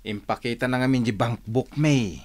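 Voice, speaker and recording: An actor with an Ilocano accent playing a meek, stammering, slow-witted henpecked husband in a radio comedy drama. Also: male voice, medium pitch, natural voice. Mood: meek, resigned. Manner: stammering, dim, startled "huh?" replies.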